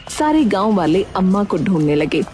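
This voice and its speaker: A woman narrates a cartoon village tale as scripted storytelling. Music runs clearly behind her voice. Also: mid-range voice, sing-song, moderate pace, a little mellow.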